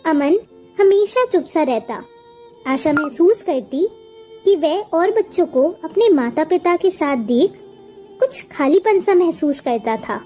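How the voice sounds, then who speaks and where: A woman reads a scripted story as narrator. Her voice is very high and mellow, and she takes her time over each phrase. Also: lively intonation, takes it slowly, soft background music.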